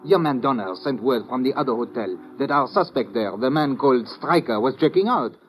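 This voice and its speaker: An actor playing an earnest, formal French investigator in an old-time radio drama, speaking precisely with a French accent. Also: male voice, medium pitch, lively intonation, brisk, close to an everyday voice, low-quality recording. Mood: indignant, earnest. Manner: formal, precise, uncontracted speech. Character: formal, earnest French police colleague, male, easily indignant. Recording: vintage radio recording, faint noise.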